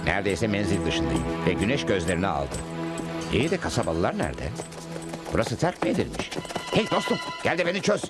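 A man voicing a quick-witted, heroic cowboy lawman in a dubbed cartoon, wry and brisk. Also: deep voice, very animated, over loud music. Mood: urgent.

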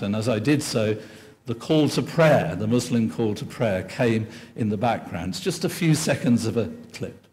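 Older guy giving a slow, earnest talk at a podium, big reverby hall. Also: mid-range voice, darkish tone. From England. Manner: earnest, measured, gesturing at a podium. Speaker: keynote speaker. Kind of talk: lecture. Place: large lecture hall. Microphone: podium microphone.

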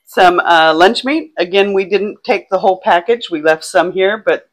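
A woman's voice: fairly low pitch, normal speed. A woman in her sixties chatting casually and listing items for a vlog, recorded indoors on a clip-on mic with some background noise. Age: 60s. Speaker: vlog co-host. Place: indoors in a small home kitchen and bedroom. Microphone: clip-on lapel mic.

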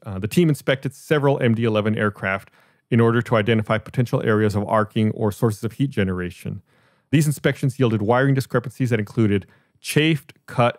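Fast-talking American man, low-pitched. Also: no echo, studio quality. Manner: matter-of-fact, explanatory. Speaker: podcast host. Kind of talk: reading out and explaining a report on a podcast.

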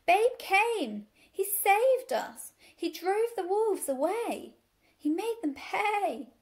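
A woman voicing a silly, eager, slow-witted sheep with a bleating, repetitive delivery in a storybook. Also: extremely high pitch, sing-song, pitched above the performer's own voice, full character voice. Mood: jubilant.

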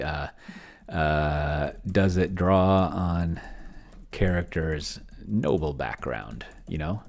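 A middle-aged man with a low voice hosts an unscripted video walkthrough. He thinks out loud in a rambling way, often dropping one thought to start another. He is speaking into a close desk microphone indoors. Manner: rambling, trailing off mid-thought. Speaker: video host. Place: indoor room at home, desk setup. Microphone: desk microphone close to the mouth.

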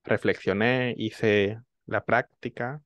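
A man in his thirties, a student in an online language class, speaking slowly and reflectively into a desk microphone in a small room. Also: on the low side, steady tone, fairly bright tone, no echo. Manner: slow, reflective, measured. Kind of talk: online language lesson chat. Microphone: desk mic on boom arm.

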